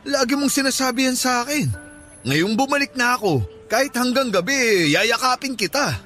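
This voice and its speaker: An older Filipino suitor in a radio drama, pitched high for a man. He is playful and coaxing, a little lost and very insistent. Soft music plays behind him. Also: animated, normal speed, full character voice. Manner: teasing, coaxing.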